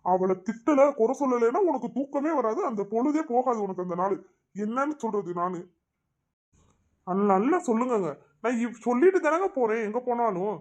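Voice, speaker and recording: A woman's voice: fairly high pitch, expressive, brisk, darkish tone, close to an everyday voice. Woman voicing a put-upon daughter-in-law. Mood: pleading. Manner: complaining, pleading. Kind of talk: cartoon voice acting.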